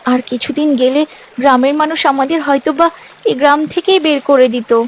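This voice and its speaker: Woman as a high-voiced humble little village girl. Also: normal speed, slight background noise, lightly acted. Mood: humble, grateful. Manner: polite, earnest. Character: honest, poor young village girl, grateful and humble. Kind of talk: animated fairy-tale character acting.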